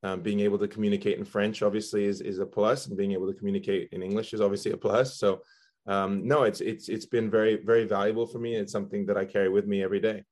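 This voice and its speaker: A man's voice: lowish voice, level tone, fast, a little mellow. A middle-aged man from Belgium, a soccer executive, answering interview questions thoughtfully with frequent ums. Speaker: guest, soccer general manager.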